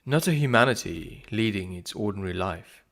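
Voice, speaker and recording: A man reads philosophical prose as a solemn, measured narration, pausing deliberately between phrases. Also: fairly low pitch, animated, fairly bright tone. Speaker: narrator of a spiritual audiobook. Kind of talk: scripted reading of philosophical prose.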